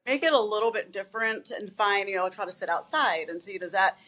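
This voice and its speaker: Relaxed, rambling woman guest. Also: mid-range voice, brisk. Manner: relaxed and rambling, many "um" and "you know" fillers. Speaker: guest, physician assistant and fitness instructor. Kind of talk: casual interview chat.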